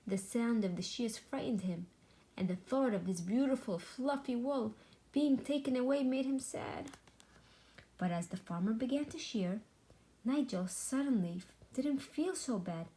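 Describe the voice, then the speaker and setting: A woman reading a children's storybook aloud with deliberate, dramatic, expressive narration. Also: fairly high pitch, low-quality recording. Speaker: storybook reader.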